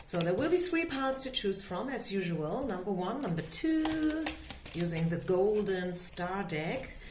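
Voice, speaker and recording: A woman with a German accent, a non-native English speaker, giving a tarot card reading in an unhurried, gently reassuring way with frequent filler words. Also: mid-range voice, sing-song, reverberant, noisy background.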